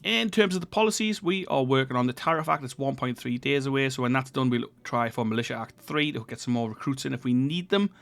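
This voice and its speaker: Croaky man with a head cold. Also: mid-range voice, fast, hardly any pauses. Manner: relaxed, thinking aloud with fillers while reviewing the map. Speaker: gaming channel host. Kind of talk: casual strategy game commentary.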